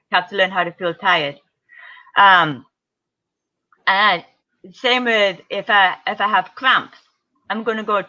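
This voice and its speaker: A middle-aged woman tells stories as an interview guest, lively and acting out the people she quotes. Her voice is bright and forward. The audio is dull and lo-fi, like a remote call. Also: a little high. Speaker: guest ultra-distance triathlete. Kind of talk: casual interview storytelling.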